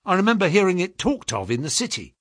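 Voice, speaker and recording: Man playing a distraught young clerk, high-pitched. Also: very animated, fast talker, a bit bright, dry recording, studio quality, full character voice. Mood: eager. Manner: groaning, crying out, urgent. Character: distraught, excitable young male clerk, duped and pleading.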